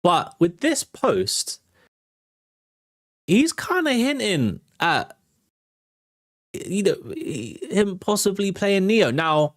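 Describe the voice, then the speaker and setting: A man's voice: high-pitched, big pitch swings, extremely slow, pauses often, a bit bright, no room sound, clean studio sound. A man hosting a live entertainment news show, chatting casually and talking straight to his viewers. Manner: conversational, addresses viewers directly. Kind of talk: casual livestream commentary.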